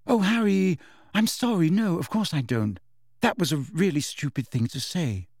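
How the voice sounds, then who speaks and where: A man's voice: fairly high pitch, very animated, darkish tone, dry recording, studio quality. A man voicing a clever, earnest teenage schoolgirl with an emphatic, fervent manner. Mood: apologetic. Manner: emphatic, fervent, quick to argue.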